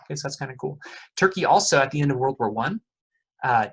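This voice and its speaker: Middle-aged man, professor, husky voice, casual lecture, friendly, chatty. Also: mid-range voice, expressive, quick pace, fairly bright tone. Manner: friendly, quizzes the audience, many fillers like uh and okay. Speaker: economics professor giving a guest talk.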